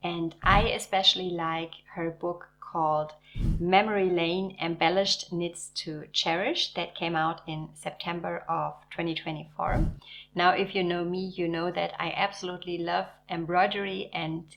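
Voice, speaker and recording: A woman hosting a knitting channel, narrating in a warm, enthusiastic, measured way. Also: lowish voice, level tone, creaky, a little hiss or hum, poor audio. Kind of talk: scripted video narration.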